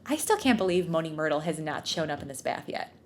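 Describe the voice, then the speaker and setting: A woman's voice: deep voice, big pitch swings, quick pace. A woman podcast co-host reading fan fiction aloud for comedy, with giggly, playfully theatrical delivery and casual banter.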